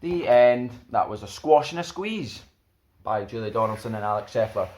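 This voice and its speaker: A young man hosting a children's storytime, reading a picture book aloud in an expressive way. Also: medium pitch, normal speed, slight background noise. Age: young adult. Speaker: storyteller, host.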